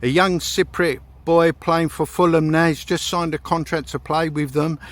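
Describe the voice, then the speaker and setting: Higher-voiced male interviewer. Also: steady tone, moderate pace. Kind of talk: friendly interview questions.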